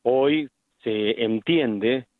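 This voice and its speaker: A middle-aged man with an Argentine accent, a medical specialist explaining things deliberately in a radio interview, with frequent restarts. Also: mid-range voice, no echo. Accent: Argentine Spanish. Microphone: phone line. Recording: tinny phone line, dry.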